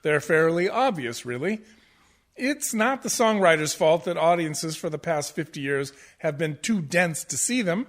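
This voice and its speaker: An actor playing a pompous, condescending theatre professor who lectures pedantically in a comic radio play. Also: male voice, on the high side, lively intonation, measured pace, a bit bright. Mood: smug, lecturing. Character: pompous, condescending male musical-theatre professor, absurdly over-analytical. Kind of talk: comic radio play acting as interview guest.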